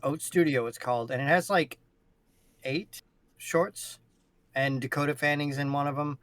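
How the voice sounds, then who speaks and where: A man in casual conversation, recommending things with emphatic, halting delivery. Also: on the high side, fairly even intonation, a bit bright. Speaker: podcast cast member. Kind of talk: casual chat, giving a recommendation.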